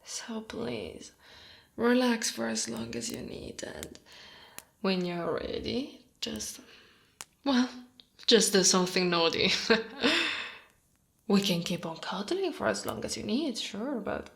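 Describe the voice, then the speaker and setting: A woman from Italy voicing a short female orc guard in intimate ASMR roleplay, gently dominant and doting, soft and breathy with slips into whispering. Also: mid-range voice, animated, slow pace, long pauses. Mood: flirty, coaxing. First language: Italian.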